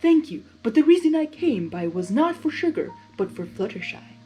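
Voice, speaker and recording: A woman voicing a wise, caring female zebra who speaks formally in measured rhymes. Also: high voice, very animated, warm tone, faint music behind, voice pushed up, poor audio. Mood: polite.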